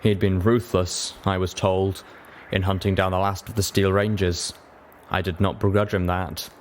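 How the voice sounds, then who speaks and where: Male audiobook narrator, lower-pitched, scripted first-person storytelling, faint noise in the recording. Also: flat delivery, normal speed.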